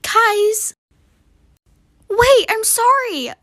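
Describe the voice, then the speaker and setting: Woman acting a high-pitched, pleading camper girl. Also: expressive, drawn-out words, fairly bright tone, dry recording, clean studio sound, heavily acted. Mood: hurt, pleading. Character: anxious, pleading young camper girl, earnest and hurt. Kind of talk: amateur voiced toy-doll roleplay.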